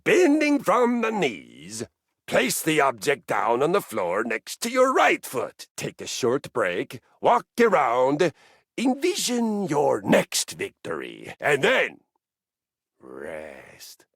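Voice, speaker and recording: A living treadmill as a theatrical, battle-hungry male fitness coach in a video game. He speaks slowly and formally, with huge swings in pitch. The voice is husky and the exuberance is grand and sincere. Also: huge pitch swings, a bit bright, no room sound, studio quality, heavily acted. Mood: instructive, commanding. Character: personified treadmill, exuberant warrior fitness coach who loves violence. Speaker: workout coach. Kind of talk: video game character acting.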